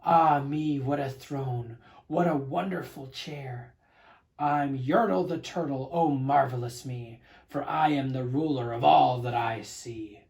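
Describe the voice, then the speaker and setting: A man performs a tyrannical turtle king from a children's storybook. He is boastful and domineering, booming out his lines in a grand, pompous bark at a stately, slow pace. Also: vocal fry, a little mellow. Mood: exultant. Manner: pompous, bellowing, barking.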